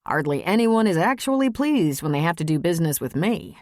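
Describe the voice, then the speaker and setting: A woman's voice: on the low side, very animated, a little fast, a little mellow, no room sound, studio quality. A woman voicing a wry, encouraging adult male lawyer in an audiobook.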